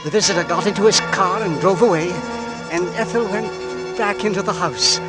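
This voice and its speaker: A man's voice: sits high, a little fast, lightly acted. A man acting a nervous businessman who narrates an old radio drama. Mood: frantic. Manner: tense, hushed, rising to frantic. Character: anxious middle-aged English businessman, narrator. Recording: old radio broadcast with music.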